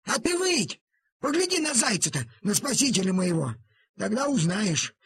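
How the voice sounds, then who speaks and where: An actor playing an old peasant grandfather in an audio play, with a husky, thin voice and folksy, emotional rural speech. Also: sits high, very animated, moderate pace. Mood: remorseful. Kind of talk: audio play character acting.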